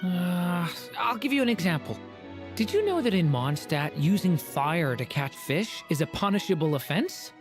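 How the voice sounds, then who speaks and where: A woman's voice: on the low side, very animated, normal speed, music in the background. A woman playing an earnest, naive young dragon child in a fantasy game, speaking in a thoughtful, wondering way.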